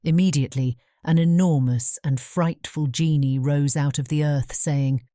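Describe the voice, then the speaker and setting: Female storybook narrator: mellow, measured bedtime reading, recorded very dry and close. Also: low-pitched, steady tone, no echo. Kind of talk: scripted bedtime fairy-tale narration.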